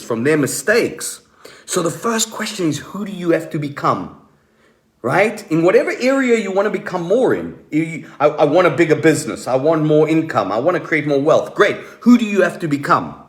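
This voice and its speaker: Male motivational coach hosting a live stream, voice on the higher side, emphatic, pausing deliberately and repeating key points. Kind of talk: motivational coaching talk to online viewers.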